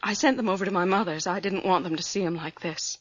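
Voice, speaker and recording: An actress playing an anxious, frightened wife who speaks hesitantly in a radio drama. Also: female voice, fairly low pitch, fast, dry recording, lightly acted. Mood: worried. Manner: hesitant, worried. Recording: dull, dry old radio recording.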